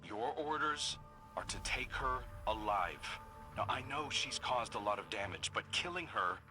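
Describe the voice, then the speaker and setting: An actor playing a principled young warrior leader, briefing a soldier over comms in a calm, firm, businesslike way. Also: male voice, medium pitch, a little slow, soft background music. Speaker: mission commander on comms. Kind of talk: mission radio briefing. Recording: game audio with clear background noise.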